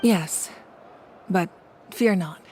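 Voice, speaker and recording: An actress playing a confident, composed warrior ruler in a video game, speaking with measured authority. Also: female voice, fairly low pitch, a little mellow. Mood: resolute. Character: commanding, confident adult female ruler and warrior deity. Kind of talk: dramatic video game voice acting. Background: music.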